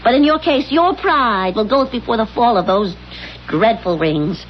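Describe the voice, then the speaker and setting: An actress playing a bossy, coaxing middle-aged wife, insistent and scheming, in a 1970s radio drama. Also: female voice, fairly high pitch, expressive, measured pace, a little hiss or hum. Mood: coaxing, pushy. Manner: insistent, pushy. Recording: muffled old radio broadcast, faint music.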